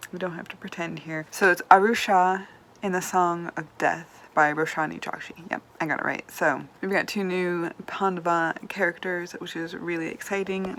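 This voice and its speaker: A woman's voice: lowish voice, a little hiss or hum. A woman reviewing books in a chatty, rambling way, swearing casually and sometimes dropping to a whisper. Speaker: book vlogger. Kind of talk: casual book review chat.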